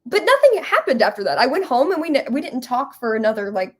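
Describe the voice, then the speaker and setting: Young woman, fairly high pitch, casual storytelling, giggly, conversational interview guest. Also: sing-song, fast. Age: young adult. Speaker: interview guest, musical writer.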